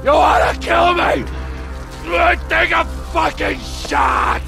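An actor playing a volatile, sarcastic middle-aged armed robber in a video game cutscene, mocking and profane. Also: male voice, very high-pitched, steady tone, takes it slowly, gravelly, raised voice, music in the background, heavily acted. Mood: betrayed fury.

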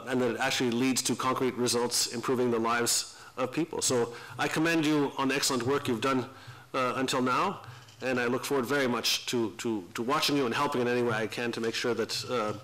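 A middle-aged man giving formal, unscripted remarks to a meeting in a measured, diplomatic way. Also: medium pitch, little pitch movement, a bit bright, low-quality recording. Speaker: guest official speaker. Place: parliament committee room, indoors. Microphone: desk gooseneck microphone.

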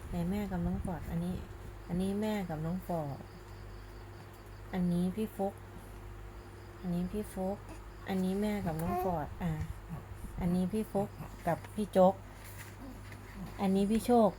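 A woman, a mother doing picture-book reading and gentle baby talk, coaxing with simple repeated questions. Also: medium pitch, steady tone, normal speed, pauses often. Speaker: mother reading a bedtime story to her baby. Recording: noisy home recording.

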